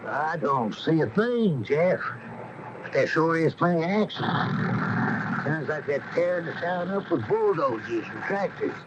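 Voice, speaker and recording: Higher-voiced, wary folksy radio-drama man. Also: sing-song, unhurried. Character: wary, plain-spoken male companion, eager to get away. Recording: muffled 1980 radio broadcast, light hiss.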